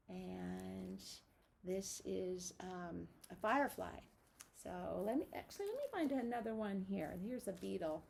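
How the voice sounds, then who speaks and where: A woman's voice: a little high, vocal fry, a little mellow. A woman teacher reading aloud and giving a lesson to young children, addressing them directly in a sing-song manner.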